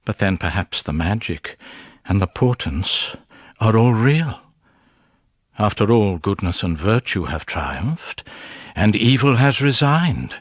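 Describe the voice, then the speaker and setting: An actor playing a scheming, self-satisfied friar in a gothic radio drama, speaking in a theatrical, insinuating way with a husky voice. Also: male voice, on the low side, clean studio sound, full character voice. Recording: echoey radio play with music bed.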